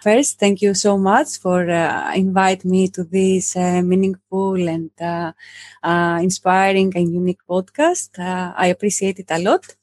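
A woman's voice: on the low side, fairly even intonation, unhurried, darkish tone, no echo. Hesitant Greek-accented woman, dry close sound. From Greece. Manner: hesitant, many fillers. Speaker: podcast guest. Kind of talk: casual interview talk.

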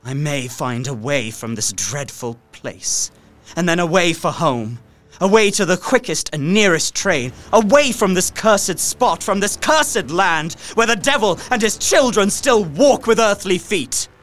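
A man playing a terrified young captive in a gothic radio play, speaking his journal with intense, deliberate drama over music. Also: high voice, expressive, a bit bright. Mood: desperate resolve. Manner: theatrical, intense, deliberate archaic diction. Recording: music under the voice.

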